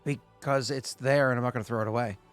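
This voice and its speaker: A man's voice: medium pitch, fairly even intonation, fast talker, soft background music. A man in his late thirties, the game master, chatting and explaining rules at length with many restarts. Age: late 30s. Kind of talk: casual chat and game narration.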